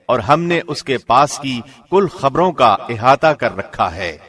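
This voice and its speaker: Male presenter type, mid-range voice, measured and formal, scripted reading of sacred text translation. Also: medium pitch, big pitch swings, a bit bright, husky. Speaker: translation reader, radio presenter. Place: studio.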